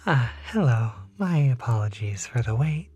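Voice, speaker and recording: Hesitant, soft-spoken restaurant host played by a voice actor. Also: medium pitch, animated, breathy edge, soft background music. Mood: confused, apologetic. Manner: hesitant, polite, halting. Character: polite, flustered restaurant host, puzzled and apologetic. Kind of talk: audio roleplay addressed to the listener.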